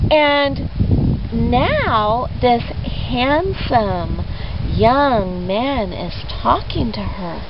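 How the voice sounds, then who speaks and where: A woman telling a children's story expressively, leaning on key words with deliberate, dramatic emphasis. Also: on the high side. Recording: dull lo-fi audio with clear background noise.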